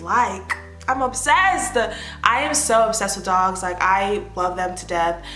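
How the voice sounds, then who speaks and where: A young American woman in her twenties chatting casually to the camera as an influencer, answering fan questions with animated bursts of excitement. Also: medium pitch, measured pace, reverberant, faint music behind. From United States. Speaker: lifestyle influencer. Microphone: phone or tablet camera.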